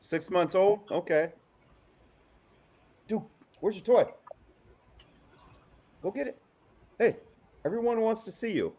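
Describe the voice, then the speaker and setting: A man's voice: high-pitched, takes it slowly, pauses often, dark tone. A man in easygoing, playful casual chat on a livestream, heard through dull lo-fi audio.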